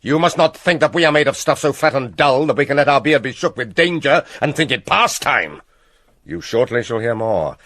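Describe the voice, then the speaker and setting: Scheming Shakespearean king: British, persuasive, theatrical, widely swooping pitch, dry close sound. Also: animated, fairly bright tone, no room sound. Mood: assured. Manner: theatrical, measured with dramatic pauses. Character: scheming king, smooth, persuasive, plotting murder. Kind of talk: classical Shakespearean stage-style acting.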